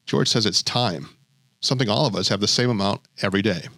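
A man's voice: fairly low pitch, fast, a bit bright, no echo, studio quality. A male podcast host narrating in a light, wry storytelling way between interview clips. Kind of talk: scripted narration.

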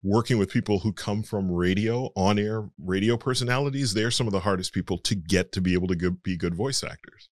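A man's voice: fairly low pitch, brisk, few pauses, no echo, studio quality. A male voice actor being interviewed, explaining his trade in a frank, matter-of-fact conversational way. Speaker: voice actor guest.

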